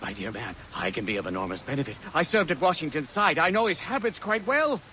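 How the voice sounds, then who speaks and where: A man's voice: a little high, very animated, fast, sharp tone, slight background noise. An actor playing a repentant older statesman in a video game, pleading and wordy in a theatrical manner. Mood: earnest persuasion. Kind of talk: dramatic video game acting.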